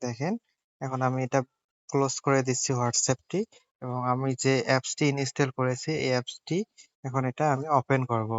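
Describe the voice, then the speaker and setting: A man's voice: mid-range voice, little pitch movement, normal speed, highs cut off. A man narrating a phone app tutorial step by step, in a repetitive, instructional way. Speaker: tech tutorial host.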